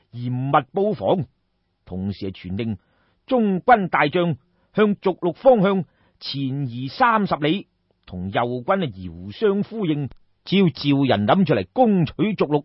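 A man's voice: fairly high pitch, breathy edge, no echo, muffled recording. A man narrating in Cantonese as a theatrical, colloquial traditional storyteller. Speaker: audiobook storyteller.

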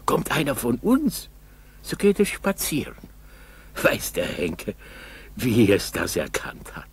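An actor playing a kindly old Russian village grandfather in a 1960s radio play, chatty, coaxing and husky. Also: medium pitch, wildly swooping pitch, no room sound, full character voice. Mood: amused storytelling. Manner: folksy, coaxing, halting storytelling. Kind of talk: 1960s German school radio play acting.

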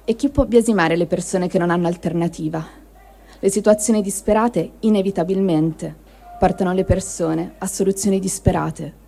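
A woman giving a measured, reflective reading of a first-person diary, in short phrases with pauses. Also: lowish voice, steady tone, creaky, warm tone. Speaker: reader of a testimony.

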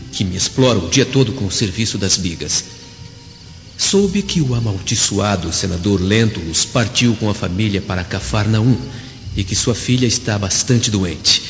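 An actor playing a deferential young slave with secret resolve, speaking in earnest theatrical diction in a radio drama. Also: male voice, on the low side, natural voice. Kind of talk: Brazilian radio drama acting. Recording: dull audio, long reverb, music behind.

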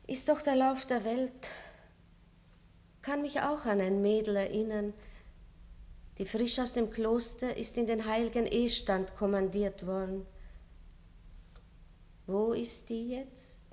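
Wistful noble princess. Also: female voice, on the high side, fairly even intonation, slow, long pauses, a little mellow. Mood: bitter, wistful. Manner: melancholy, drops to a whisper in reflection. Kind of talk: 1960s radio play acting.

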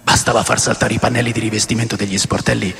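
An actor playing a restless, world-weary man who recounts wild rock-and-roll memories in a gravelly, theatrical radio drama narration. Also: on the low side, little pitch movement, fast talker, fairly bright tone, a little hiss or hum. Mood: low, reflective. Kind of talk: dramatic first-person scripted narration. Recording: long studio reverb under music. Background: music.

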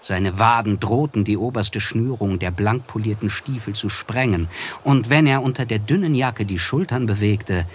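Low-voiced, reflective male narrator, acted by a man. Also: a little hiss or hum, natural voice. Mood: observant. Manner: measured, descriptive storytelling. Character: Nick, reflective young narrator, polite and observant. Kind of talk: literary radio drama narration. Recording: music under the voice.

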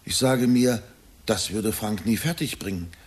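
Low, husky anxious middle-aged man. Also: measured pace. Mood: anxious, confiding. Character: anxious, brooding, evasive husband and father, middle-aged man. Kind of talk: German radio drama acting.

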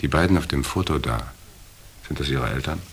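A hard-boiled hotel boss from a noir radio play, with an extremely low voice. He speaks in an unhurried, sardonic, familiar manner. A faint background noise sits under the dialogue. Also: lively intonation. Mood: self-assured. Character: cool hard-boiled hotel owner, son of a city boss. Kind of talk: noir radio drama acting.

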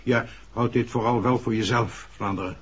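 Man acting senior police boss, discreet but frank, very husky, muffled old 60s radio play. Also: mid-range voice, steady tone, moderate pace, natural voice. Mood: frank, confidential. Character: discreet, candid male senior police chief. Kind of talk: 1960s Dutch radio drama acting. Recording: dull, muffled 1960s radio recording.

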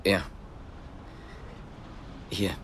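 An actor playing a wary East German police investigator in a naturalistic radio drama, putting short, probing questions. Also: male voice, extremely slow, noisy background. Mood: tense, determined.

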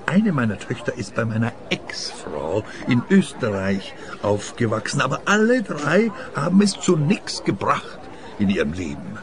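Lively-pitched, plaintive old pensioner. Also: male voice, a little slow, crowd noise. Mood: aggrieved. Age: 70s. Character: contented, frail seventy-year-old pensioner, superstitious and plaintive. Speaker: radio play actor. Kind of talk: radio play dialogue.

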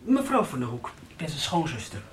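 An actress playing a sharp, probing farmer's wife with a teasing manner in a radio soap. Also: female voice, low voice, big pitch swings, brisk, a little hiss or hum. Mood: polite. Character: sharp, prying farmer's wife visiting her brother-in-law.

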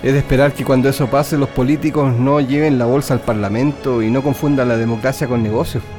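A man's voice: medium pitch, steady tone, fast, over music, lightly acted. Resolute prisoner comrade acted by a man. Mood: curious. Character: resolute, questioning male political prisoner comrade. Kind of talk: radio drama acting.

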